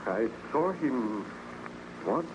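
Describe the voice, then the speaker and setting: A man's voice: medium pitch, very animated, very slow. An actor playing a haunted, fearful young nobleman in an old-time radio drama, speaking in a hesitant, melodramatic, evasive way. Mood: anxious, urgent. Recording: noisy, muffled old-time radio recording.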